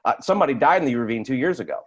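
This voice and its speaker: A man in a staged play reading as an edgy, foul-mouthed highway patrolman, stammering through his rants. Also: animated, very fast, husky. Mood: agitated storytelling.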